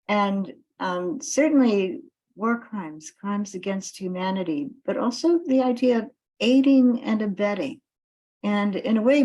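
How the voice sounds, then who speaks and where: A woman with a fairly low voice speaks as an activist addressing a meeting. She builds her points in deliberate, broken phrases, leaning firmly on key words. The delivery is informal, like a campaign talk given without a script. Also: warm tone, low-quality recording. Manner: deliberate, halting phrases with emphatic stresses.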